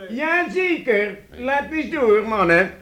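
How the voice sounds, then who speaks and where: A blunt, argumentative craftsman in a radio play. He has a very high voice and makes his doubts known slowly and emphatically. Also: big pitch swings, crowd noise, exaggerated voice. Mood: sceptical. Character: blunt, sceptical male tradesman objecting at a guild meeting.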